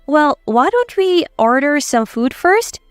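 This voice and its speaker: A woman voicing a wronged housewife in a story, composed and firm, quietly set on revenge. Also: sits high, sing-song, slow pace, dry recording, studio quality, faint music behind, exaggerated voice. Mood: tentative. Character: wronged housewife, calm, determined, quietly vengeful.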